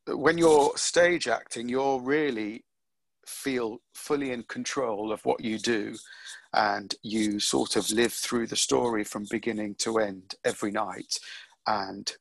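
A middle-aged man answering interview questions about his acting career, reflective and unhurried, with frequent ums and restarts. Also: mid-range voice, gravelly, dry recording, rough recording. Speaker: actor being interviewed.

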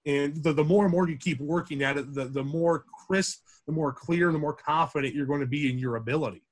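A young man with a big, projecting voice, sitting sitting a little higher than most men's. He rambles through loose podcast talk, circling back and restarting his sentences. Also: a little fast. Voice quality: deep, projecting voice. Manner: rambling with frequent restarts and fillers. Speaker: campus radio sports director, guest. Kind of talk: casual podcast interview.

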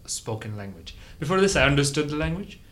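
A man's voice: on the high side, very animated, quick pace, a bit bright. A young man co-hosting a podcast, telling a rambling story and correcting himself as he goes. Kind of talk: casual chat.

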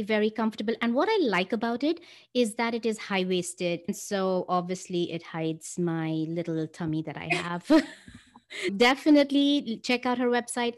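A woman hosting a podcast interview in an even, mid-pitched voice. She paces her introductions with care and slows down on the words she stresses. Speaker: podcast host.

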